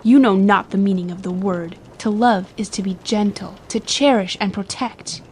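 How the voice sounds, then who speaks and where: A woman's voice: mid-range voice, fairly even intonation, moderate pace, a little mellow, a little hiss or hum, natural voice. A woman playing a proud, defiant young noblewoman in a dramatic audiobook, speaking with formal, impassioned dignity. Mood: anguished, indignant. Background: ambience under the voice.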